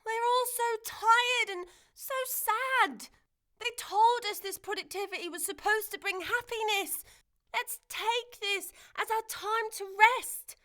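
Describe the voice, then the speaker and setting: Young girl, audio drama, very high-pitched, bright, kind-hearted, earnest, hopeful. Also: slow pace, heavily acted. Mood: earnest, pleading. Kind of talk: family audio drama acting.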